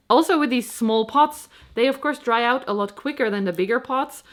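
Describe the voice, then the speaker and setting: A woman's voice: on the high side, normal speed. A young adult woman with a stuffy, congested voice from a cold, chatting casually to camera at home, self-deprecating and explaining things as she goes. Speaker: plant vlogger. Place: home, indoors.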